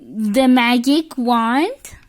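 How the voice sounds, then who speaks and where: A reader with a childlike voice reads a children's picture book aloud haltingly, stumbling over and repeating words. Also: mid-range voice. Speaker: storybook reader.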